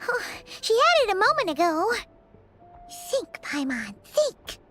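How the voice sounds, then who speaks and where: Tiny chirpy fairy sidekick, super high swoopy voice, video game with music behind. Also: female voice, big pitch swings, a little slow, heavily acted. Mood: excited, flustered. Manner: speaks of self in third person, exclaiming. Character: small, childlike, chirpy fairy companion girl, food-loving.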